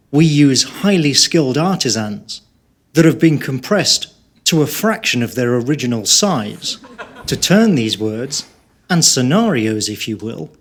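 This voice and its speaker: Slow, solemn design guru played by a man. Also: a little high. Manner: solemn, grandiose, deliberate. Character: pompous English design guru in a parody promo. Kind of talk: mock promotional monologue at a live show.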